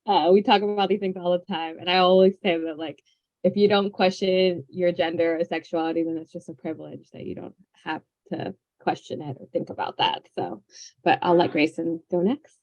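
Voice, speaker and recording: A woman's voice: on the low side, steady tone, brisk, no echo. A woman hosting a group workshop, chatting casually, in dull lo-fi call audio. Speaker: workshop host. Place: online video call.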